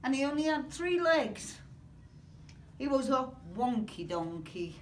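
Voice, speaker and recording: A British woman in her sixties reading a picture book aloud in an expressive, rhythmic sing-song way. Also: high-pitched, unhurried. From Britain. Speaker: grandmother reading aloud to children. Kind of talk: storybook read-aloud. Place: small carpeted classroom or office, indoors. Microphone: camera or phone a few metres away. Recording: distant mic, room noise.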